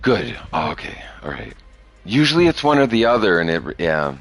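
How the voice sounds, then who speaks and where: A man's voice: fairly low pitch, sing-song, normal speed, a bit bright, soft background music. A man in his thirties talking bluntly and profanely in a rambling casual podcast chat. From Chicago area. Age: 30s. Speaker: podcast host.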